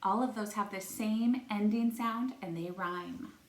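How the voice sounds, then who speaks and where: A woman, a first-grade teacher, reads a rhyming storybook to young children in expressive, carefully spaced phrases. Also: medium pitch, measured pace. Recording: echoey room.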